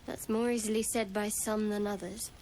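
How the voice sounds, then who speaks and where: A woman's voice: medium pitch, fairly even intonation, moderate pace, darkish tone, close to an everyday voice. An actress playing a guarded, wry young woman adventurer in a fantasy video game, with faint noise behind. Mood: wry, reflective.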